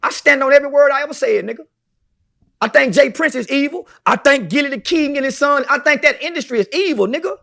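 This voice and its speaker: A man's voice: very high-pitched, fast, vocal fry, pushed and bright. A man talking brashly as a podcast guest, boastful and preachy, swearing constantly.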